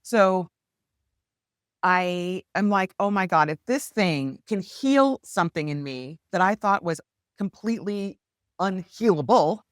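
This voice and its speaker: A woman speaking as a podcast guest, telling her story in an earnest, heartfelt and animated way. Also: on the low side, unhurried, dry recording, clean studio sound. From United States. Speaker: podcast guest, hypnosis healer. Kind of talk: candid conversational storytelling.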